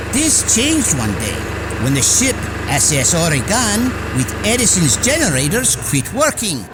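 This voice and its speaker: A man's voice: high-pitched, exaggerated voice. An actor playing a proud immigrant inventor who narrates his life formally and dramatically in a radio theater piece. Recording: music under the voice.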